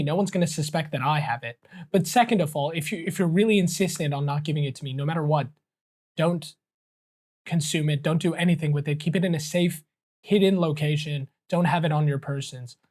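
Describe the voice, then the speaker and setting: A man's voice: on the high side, fairly quick, a little mellow, lightly acted. A man playing a crafty deceiver in a tabletop game, speaking insistently and persuasively as he bargains. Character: crafty male deceiver bargaining earnestly, secretly scheming.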